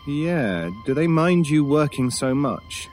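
A world-weary British stranger in an audio horror drama. He speaks slowly and broodingly, with wry asides and weighty pauses, like someone haunted. Soft music runs behind him. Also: on the high side, sing-song. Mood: wry, guarded.

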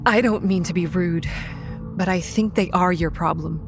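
A young woman playing a wry campground manager, telling a horror story in a measured, scripted first-person style. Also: on the low side, vocal fry. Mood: cautious. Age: young adult. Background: music.

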